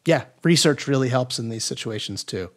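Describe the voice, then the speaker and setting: Male interview guest, average pitch, agreeable explainer who teaches through rhetorical questions. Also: animated, brisk. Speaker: guest expert, audio drama maker. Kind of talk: casual explanatory interview talk.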